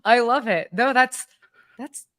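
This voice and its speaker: A woman's voice: on the high side, slow, fairly bright tone, no room sound, clean studio sound. A woman in her thirties from the United States, co-hosting a live hospitality show in a bubbly, chatty way with lots of fillers and restarts. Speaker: podcast co-host. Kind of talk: casual live-show chat.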